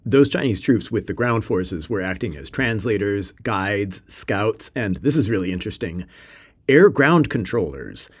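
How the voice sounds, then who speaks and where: A man's voice: on the low side, sing-song, unhurried, husky. A man co-hosting a history podcast in English, which is not his first language, adding wry asides. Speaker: podcast co-host. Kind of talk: casual history podcast narration.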